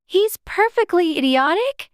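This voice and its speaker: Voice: very high-pitched, lively intonation, measured pace, no room sound, studio quality, pitched above the performer's own voice. A narrator voicing a curious, prim and polite little girl in a storybook, earnest and insistent. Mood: exasperated.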